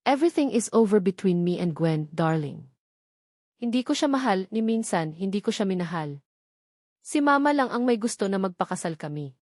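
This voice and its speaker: A woman's voice: mid-range voice, very animated, normal speed, a little mellow, no echo, studio quality, lightly acted. A woman voicing an insistent, affectionate man engaged to the heroine, in a romance audio novel. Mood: reassuring.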